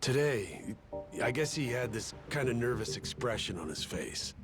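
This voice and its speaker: A man's voice: mid-range voice, very animated, moderate pace, close to an everyday voice. A man playing a wary, hard-bitten middle-aged pirate crewman with a grave, plain-spoken delivery in a video game cutscene. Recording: game music behind the voice.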